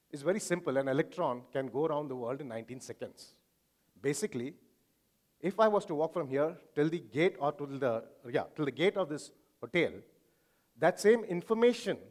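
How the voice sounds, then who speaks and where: A man with an Indian accent speaks to a conference audience, explaining things in an informal way. His voice sits a little high for a man. He sounds like a physician presenting his field. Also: a little mellow.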